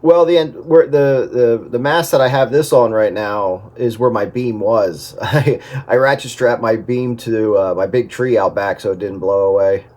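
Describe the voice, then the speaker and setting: A man with a lowish voice talks casually in a radio operators' check-in. He comes over a two-way radio link with faint noise underneath. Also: moderate pace, hardly any pauses. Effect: two-way radio transmission. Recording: noisy two-way radio audio.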